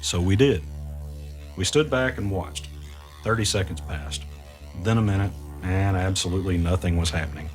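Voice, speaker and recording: A man acting as the weary narrator of a mystery audio drama, recounting an arcade ordeal in a measured, halting way. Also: sits low, soft background music, natural voice. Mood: wry, suspenseful recounting. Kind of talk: first-person audio drama narration with dialogue.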